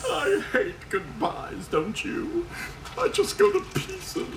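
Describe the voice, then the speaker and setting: A smug, theatrical cartoon chaos spirit, whose voice swoops wildly between low purrs and high squeaks. He teases in a sly, mock-innocent sing-song. Music plays behind him. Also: wildly swooping pitch, takes it slowly, full character voice, rough recording. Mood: smug, teasing. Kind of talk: cartoon voice acting.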